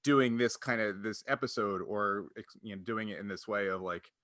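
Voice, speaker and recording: Guy podcast host, bright voice, super close dry sound, explains stuff casually w lots of likes. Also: lowish voice, steady tone, moderate pace, dry recording. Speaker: podcast host and game master.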